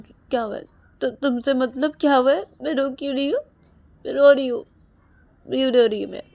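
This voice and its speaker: Brief: a sulky, tear-choked young man snapping back loudly, as a woman storyteller puts him on. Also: medium pitch, normal speed, close to an everyday voice. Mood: defiant. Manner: crying, brushing off, shouting back.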